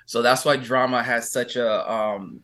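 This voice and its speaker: A man's voice: mid-range voice, fairly even intonation, sharp tone. Chatty man with frequent ums. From California. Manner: hesitant, many filler pauses, giggly. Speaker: guest singer-songwriter. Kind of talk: casual interview chat.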